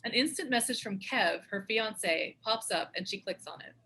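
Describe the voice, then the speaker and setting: A woman's voice: mid-range voice, animated, moderate pace, sharp tone, breathy edge. A woman reading screen directions as scripted narration at a table read.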